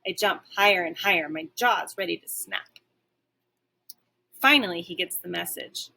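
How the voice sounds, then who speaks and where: A woman's voice: on the low side, normal speed, vocal fry, pushed and bright, a little hiss or hum. A woman reading a children's story aloud like a teacher, expressively, with dramatic and varied emphasis.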